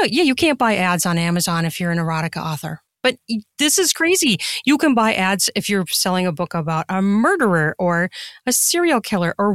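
A woman's voice: medium pitch, no echo. Chatty, emphatic woman, dry close sound. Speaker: podcast guest, erotica author and podcaster. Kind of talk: casual interview conversation.

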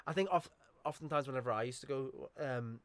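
A man's voice: mid-range voice, brisk, a bit bright, no room sound. A young man from Iran in casual chat, enthusiastic and agreeable.

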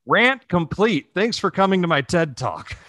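A man with an American accent chatting casually as a podcast co-host, animated, opinionated and freely swearing. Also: high voice, measured pace, dry recording, clean studio sound. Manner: animated, profane, opinionated ranting. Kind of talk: casual chat.

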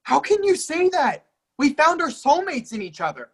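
A woman's voice: sits high, big pitch swings, normal speed. A woman in a play reading, playing a young lover who is heartbroken and pleading, hurt and sarcastic by turns. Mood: hurt, indignant. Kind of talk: readers theatre play reading.

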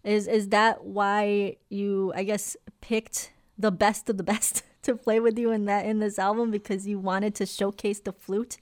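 A woman's voice: mid-range voice, flat delivery, unhurried, few pauses. A woman hosting a radio interview in the studio, asking curious, friendly questions. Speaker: radio host.

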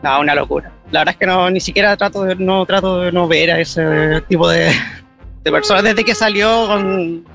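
A man's voice: high-pitched, moderate pace, creaky, a bit bright, faint music behind. A man from Argentina who lived for years in Chile, giving casual, hesitant interview answers as he searches for words. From born in Argentina, lived many years in Chile. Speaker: passer-by interviewee, photographer. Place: street outdoors.

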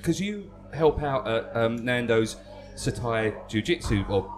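Lively, joking male podcast host. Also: medium pitch, unhurried, background noise. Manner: joking, teasing interviewer. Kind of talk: casual chat.

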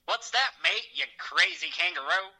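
A man's voice: high voice, expressive, normal speed, gravelly, pushed and bright, breathy edge, heavily acted, rough recording. A man voicing a cheery, over-the-top Australian caller with a put-on Australian accent and lots of slang. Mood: jokey. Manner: hammy, slang-heavy. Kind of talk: comic phone-in bit.

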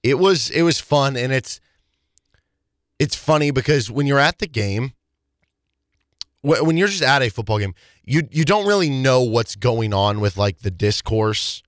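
A man with a hoarse, worn voice talks sports in a loose, rambling way, often restarting his sentences. His voice sounds dry and close, with no room around it. His pitch sits in an ordinary middle range. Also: unhurried, long pauses, dry recording. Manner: rambling, many restarts and fillers. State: hoarse, voice partly lost. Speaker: sports talk show co-host. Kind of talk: casual sports chat.

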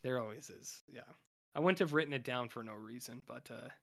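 Raspy man, hoarse, bright tone, rambling podcast co-host, casual chat. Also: medium pitch, fairly quick. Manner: rambling with many fillers and restarts. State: hoarse, losing his voice. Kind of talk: casual chat recapping a TV show.

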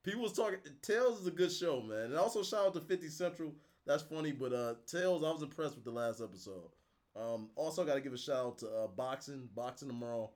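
A man's voice: mid-range voice, animated, fast, a little mellow. A young man co-hosting a casual podcast, talkative and brash. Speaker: podcast co-host.

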